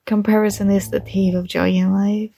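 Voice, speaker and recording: A young woman playing a shy, warm college girl with a secret crush, speaking softly and hesitantly in an intimate ASMR roleplay. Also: mid-range voice, little pitch movement, moderate pace, gravelly, soft background music, close to an everyday voice. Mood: gentle, curious.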